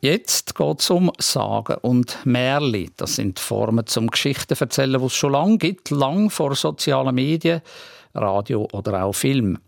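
Swiss german guy reading regional news on radio, bit of fry in the voice, very dry sound. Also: mid-range voice, animated, unhurried, dry recording.

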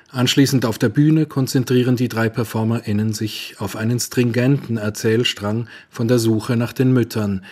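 A man with a husky, breathy voice reading a scripted arts report. He speaks in a measured, literary way, at a middle pitch. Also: a little mellow. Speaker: culture radio reporter. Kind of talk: scripted narration.

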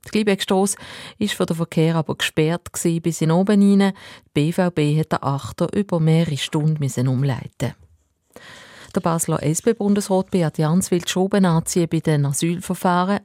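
A woman's voice: on the low side, mellow, no echo, studio quality. A woman with a Basel Swiss German accent presenting radio news from a script.

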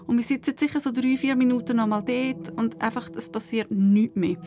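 A woman with a Swiss German accent, a podcast guest casually telling an anecdote in an animated way. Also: a little high, fairly quick, music in the background.

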